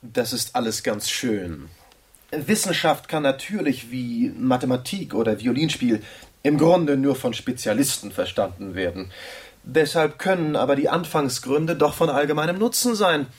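A man's voice: mid-range voice, animated, moderate pace, natural voice. A man acting in a German radio drama as a big, self-assured young Oxford scholar, debating in a pompous, ironic, lecturing way.

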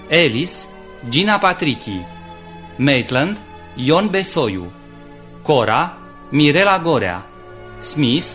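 Formal male radio announcer, lively pitch. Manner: formal, deliberate. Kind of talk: formal scripted credits reading. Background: music.

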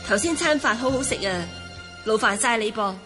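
Female, Cantonese: reserved young heroine of a martial-arts radio drama, high gentle voice, hesitant and courteous, reverberant. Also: expressive, normal speed. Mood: polite, placating. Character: gentle, guarded young swordswoman who disguises as a man. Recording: reverberant hall, music behind.

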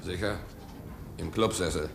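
A man's voice: low-pitched, a little slow, vocal fry. A man playing a stolid, fatalistic ship stoker in a German radio play, laconic and colloquial with dry irony. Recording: faint background noise on radio recording.

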